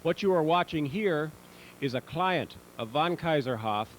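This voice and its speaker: A man reading scripted promotional narration in a measured, instructional manner. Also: fairly high pitch, expressive. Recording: noisy old VHS tape transfer.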